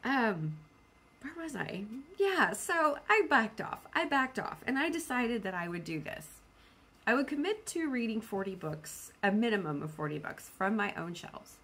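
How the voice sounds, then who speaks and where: A woman's voice: a little high, normal speed, breathy edge. Chatty, self-deprecating woman vlogger. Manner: animated self-quoting, repeats phrases for emphasis. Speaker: book vlogger. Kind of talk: casual, rambling video chat about personal plans.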